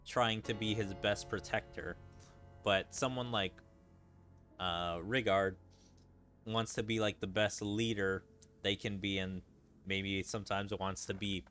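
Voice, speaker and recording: Male gaming streamer, slightly deep, very slow halting delivery, casual commentary with soft game music underneath. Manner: halting, thinking aloud casually. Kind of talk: reading game dialogue aloud with off-the-cuff commentary.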